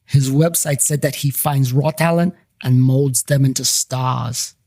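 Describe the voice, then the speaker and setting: A man's voice: medium pitch, fairly even intonation, measured pace, darkish tone, no echo. A man narrating a scripted first-person story as the narrator of a fiction podcast.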